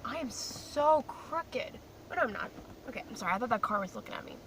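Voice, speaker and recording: A young woman from Croatia vlogs from her parked car, rambling freely and laughing at herself. Her voice is bright and a little high. The phone sits a bit away from her, with a faint hum in the background. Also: animated, brisk. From grew up in Croatia. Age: young adult. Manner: chatty, rambling, self-deprecating. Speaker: vlogger. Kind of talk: casual chat to camera. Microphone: phone camera in a car. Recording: distant mic with faint car noise.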